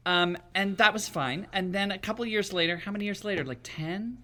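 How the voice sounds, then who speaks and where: Male, 50s, bright forward voice, dry sardonic wit, casual storytelling guest. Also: sits high, brisk. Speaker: guest, playwright and drag performer.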